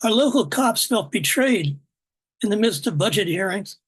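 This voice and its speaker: A woman reading her own poem aloud in a measured, deliberate way over a video call. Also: medium pitch, animated, breathy edge. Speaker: poet reading at open mic. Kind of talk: poetry reading.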